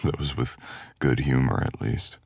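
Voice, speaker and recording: A man's voice: rumbling low, a little slow, creaky, darkish tone, slightly rough, no echo, full character voice. A man playing a teasing, caring male best friend in an intimate boyfriend ASMR audio roleplay, with playful grumbling.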